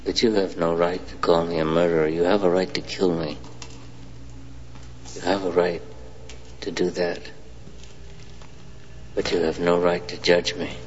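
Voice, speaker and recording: Out of a muffled, hiss-laden film clip comes a brooding renegade colonel. His voice sits very deep and barely moves, and he drags each phrase out slowly in a low half whisper. He sounds menacing and older. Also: flat delivery. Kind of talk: film dialogue.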